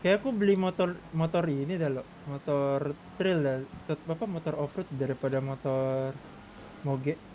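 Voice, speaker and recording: A man's voice: fairly high pitch, moderate pace, warm tone, a little hiss or hum, low-quality recording. A man with an Indonesian accent chatting casually during a roleplay game. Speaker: gamer in a GTA roleplay server.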